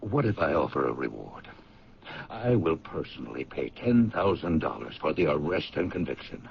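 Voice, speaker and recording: An actor playing a blunt, proud, middle-aged owner of a family paint company in a radio drama, speaking haltingly. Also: male voice, extremely low pitch, sing-song, vocal fry, full character voice. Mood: troubled. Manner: hesitant, halting talk with ums and pauses. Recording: muffled, noisy old radio drama recording.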